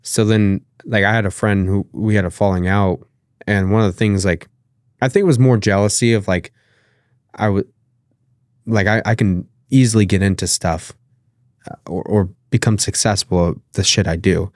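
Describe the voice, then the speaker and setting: A man's voice: fairly low pitch, steady tone, moderate pace, no echo, clean studio sound. A man with a nasal voice, talking loosely as a podcast guest, with lots of fillers and casual swearing. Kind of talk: casual interview conversation.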